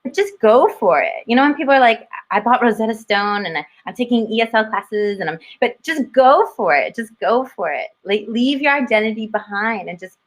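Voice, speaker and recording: A woman's voice: a little high, expressive, brisk, hardly any pauses. A young woman talking as an interview guest, reflective, with many restarts and fillers. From United States. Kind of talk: casual conversation.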